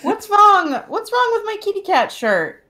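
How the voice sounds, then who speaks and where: A woman's voice: very high voice, very animated, a little slow, sharp tone. A young woman co-hosting a casual podcast, joking in a self-deprecating, mock-indignant way. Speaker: podcast co-host. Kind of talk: casual chat and banter. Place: room at home, indoors.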